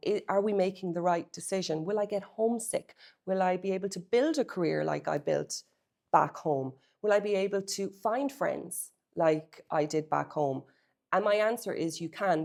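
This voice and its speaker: A woman's voice: low-pitched, gravelly, darkish tone. A middle-aged Irish woman, co-owner of a property agency, telling her family's relocation story to camera in an earnest, reflective way. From Ireland. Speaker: real estate agency co-owner.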